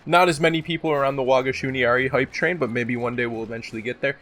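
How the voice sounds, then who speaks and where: A man's voice: medium pitch, steady tone, fast talker. A man with a sore throat giving casual, rambling, unscripted countdown commentary with some swearing and slang. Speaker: video host, gaming commentator. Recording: loud music behind the voice. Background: music.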